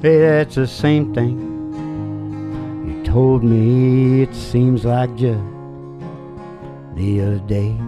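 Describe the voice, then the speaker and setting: A woman's voice: extremely low pitch, steady tone, slow, pauses often. A woman hosting and singing on a country music show, with a playful, loose manner and a guitar alongside. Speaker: radio show host and singer.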